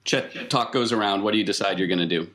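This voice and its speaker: A man with a low voice acts as game master at a tabletop session. He talks conversationally, setting out scenes and putting questions to the players. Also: fast, a bit bright. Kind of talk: improvised tabletop game narration.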